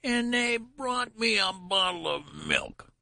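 A shrill, cracked old man from a storybook, whining and complaining. The voice leaps about in pitch and sounds aged and querulous. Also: animated, slow pace, gravelly, bright, forceful tone, no echo, clean studio sound, full character voice. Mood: whining protest. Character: querulous old man who is a newborn baby. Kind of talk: audiobook character voice.

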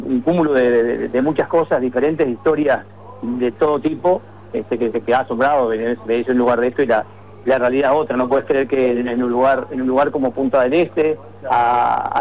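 A man's voice: mid-range voice, fairly even intonation, brisk. A man reporting from the field for radio, speaking conversationally with many fillers. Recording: dull radio line, faint hum.

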